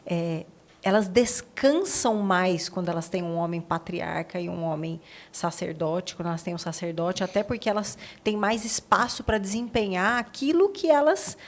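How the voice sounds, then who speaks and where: A woman's voice: on the low side, moderate pace. A woman with a Brazilian accent hosting a podcast interview, talking in a conversational, reflective way and affirming her guests. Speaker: podcast host.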